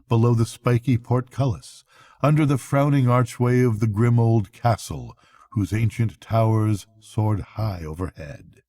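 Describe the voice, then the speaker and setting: An older man narrating a bedtime story aloud as a storyteller, with measured, dramatic phrasing. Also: lowish voice, dark tone, no echo. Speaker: storyteller, grandfather reading aloud.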